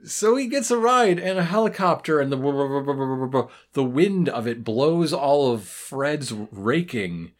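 A co-host with a mid-range voice who talks at an unhurried pace with lively swings in pitch. The delivery is a casual, wry recap, dropping dry asides and acting out quoted lines. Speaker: podcast co-host.

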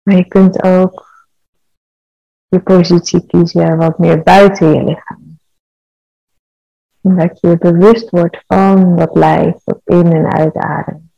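Very slow, gravelly woman guiding meditation. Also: lowish voice, no echo, highs cut off. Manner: calm, measured, soothing. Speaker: guided meditation leader. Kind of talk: guided meditation.

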